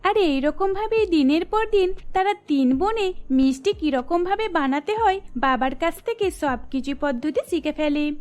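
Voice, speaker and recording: A woman's voice: high voice, darkish tone. A woman giving scripted narration for a cartoon story.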